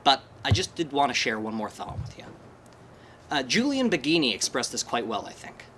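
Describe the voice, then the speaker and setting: A man giving a live talk to an audience, animated and wry, with a self-deprecating humor. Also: mid-range voice, moderate pace, slight background noise. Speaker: presenter at a skeptics' meetup. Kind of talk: conference talk. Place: presentation room, live audience.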